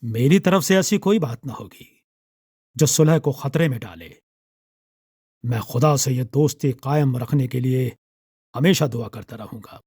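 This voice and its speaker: A man's voice: medium pitch, lively intonation, unhurried, long pauses, dark tone, husky, no room sound, studio quality. A man voicing a gullible, self-satisfied Mughal emperor in an audiobook story, with a regal, polite manner. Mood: polite, solemn.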